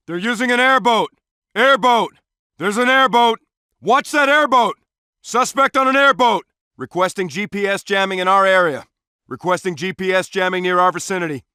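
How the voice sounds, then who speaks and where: A man's voice: very high voice, pushed and bright, no echo, clean studio sound, exaggerated voice. A man playing an alert male SWAT police officer in a video game, giving short, clipped, commanding tactical callouts. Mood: controlled, official. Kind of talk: tactical shooter voice lines, short radio-style callouts.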